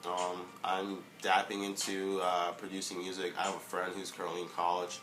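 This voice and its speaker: A young man giving a casual motivational vlog monologue, halting, with many fillers and restarts. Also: low-pitched, completely flat delivery, a bit bright, slight background noise, poor audio. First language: English. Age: young adult. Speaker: vlogger.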